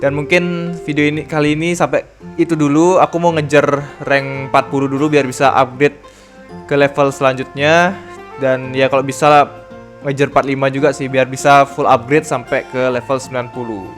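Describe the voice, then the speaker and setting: A man's voice: medium pitch, level tone, fairly quick. A young man with an Indonesian accent giving casual, slangy, rambling gameplay commentary. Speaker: gaming YouTuber. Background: game music.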